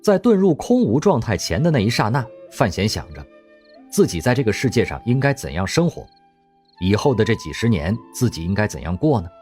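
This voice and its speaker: A man narrating an audio drama, telling the story expressively in a husky voice. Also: on the low side, sing-song, fairly quick, a little mellow, soft background music.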